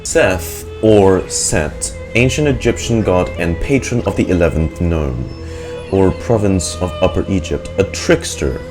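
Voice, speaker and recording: A man's voice: low voice, lively intonation, unhurried. A man giving casual reaction commentary on a game, slangy and sweary as he muses aloud. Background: game music.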